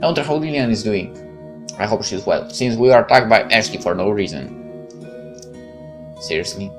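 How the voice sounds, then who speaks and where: Halting male gamer reading over music. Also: fairly low pitch, lively intonation. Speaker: gaming video host. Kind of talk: reading a visual novel aloud with casual commentary.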